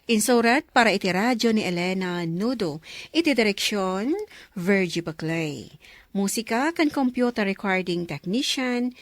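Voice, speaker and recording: A woman's voice: mid-range voice, dry recording. A woman with a Filipino accent reading scripted narration as the announcer of a radio drama program.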